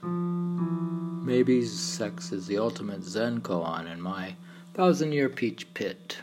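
Guy reading his own poetry, kinda low voice, trips over words and repeats them, faint keyboard music in back. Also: big pitch swings, slow pace. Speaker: poet reading own poems. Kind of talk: slow meditative poetry reading. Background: piano and keyboard music.

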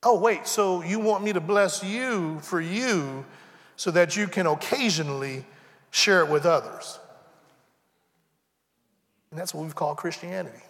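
Pastor in his forties, high bright voice, playful preaching, acts out both sides of a dialogue. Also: measured pace, pauses often, big-room sound. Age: 40s. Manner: conversational preaching, acts out dialogue, humorous asides. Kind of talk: sermon. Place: church sanctuary.

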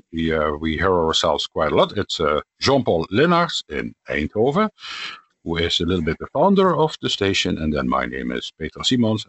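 Radio host, male, very low husky voice, lively intonation, easygoing. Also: dry recording. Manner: relaxed, hesitant with fillers. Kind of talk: casual panel discussion.

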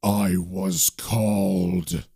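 A man voicing a slow, low, sneering demon. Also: steady tone, gravelly, mellow, husky, no echo, clean studio sound, heavily acted. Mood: menacing. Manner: slow, drawn-out, ominous delivery. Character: sneering, ancient hovering demon, lofty and menacing.

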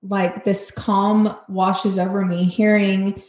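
A woman's voice: medium pitch, flat delivery, slow, a little mellow, reverberant. A woman hosting a podcast interview in a casual, chatty way, with lots of fillers and laughter. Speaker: podcast host.

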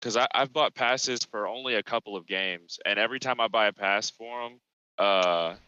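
Bright-voiced young man rambling on a call. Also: lowish voice, normal speed, a little hiss or hum. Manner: rambling storyteller, swears freely, restarts often. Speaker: podcast co-host. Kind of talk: casual chat. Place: at work. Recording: lo-fi call audio.

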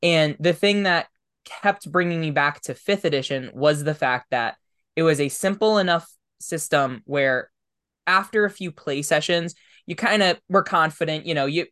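A man's voice: on the high side, a bit bright, clear, resonant tone. Thoughtful man hosting a casual podcast. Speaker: podcast host. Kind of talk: casual interview talk.

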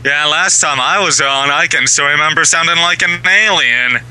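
A man from southwestern Ontario, Canada, calling in to a podcast over a tinny online call line, talking haltingly with many ums and uhs. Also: fairly high pitch, sharp tone, smooth. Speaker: caller.

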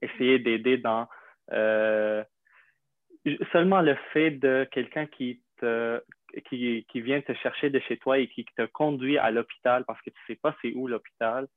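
A young man from Syria, a native Arabic speaker, telling his story in an interview with many pauses, fillers and restarts. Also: mid-range voice, no echo. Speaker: interview guest. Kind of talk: hesitant conversational interview. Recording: dry tinny phone-like line.

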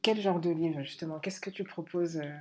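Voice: medium pitch, fairly even intonation, normal speed, warm tone. An interviewer in a casual chat, asking short questions to prompt the guest.

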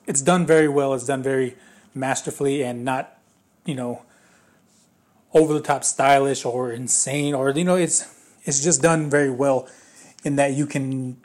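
A man with a mid-pitched voice talks through a movie review in a loose, casual way. His voice is a little raspy, and he rambles, restarting sentences and leaning on fillers as he thinks aloud. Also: fairly even intonation, a little slow, darkish tone. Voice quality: slightly raspy.

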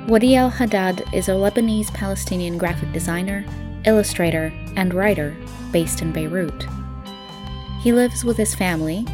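A woman podcast host delivers a scripted introduction. Music plays clearly behind her voice as she presents. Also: on the low side.

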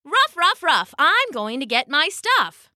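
A sly, hungry yet companionable storybook fox , as a woman puts it on. The delivery drawls and barks with lively, swooping pitch. The sound is bright, close and dry. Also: unhurried, no echo, exaggerated voice. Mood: rowdy. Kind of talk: children's storybook character voice.

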